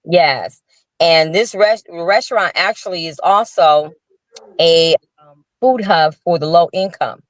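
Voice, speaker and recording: A woman's voice: fairly low pitch, slow, no room sound. An older woman and restaurant owner, a guest telling her story in an earnest, casual way with frequent restarts over a video call. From Chicago. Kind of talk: casual interview talk.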